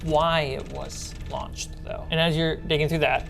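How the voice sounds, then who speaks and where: A woman game master narrating a tabletop horror game in a descriptive, casual way with pauses. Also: low voice, sing-song, creaky. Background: music.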